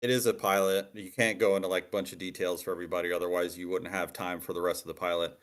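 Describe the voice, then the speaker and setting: A man's voice: deep voice, flat delivery, fast talker. A man in a casual workshop discussion, explaining his view by example and rephrasing as he goes. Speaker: participant in a screenwriting critique.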